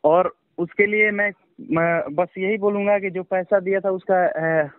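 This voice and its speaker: A man giving an informal phone interview as a songwriter, speaking in a halting, repetitive, defensive way. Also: high-pitched, steady tone, dry recording. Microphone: phone call. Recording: tinny, dry phone-call line.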